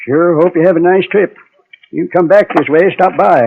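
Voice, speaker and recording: An American actor playing a folksy, chatty but firm frontier gunsmith in a Western radio drama. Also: male voice, high-pitched, normal speed, creaky. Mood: easygoing. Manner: folksy, drawling frontier phrasing. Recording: dull vintage radio recording, hiss.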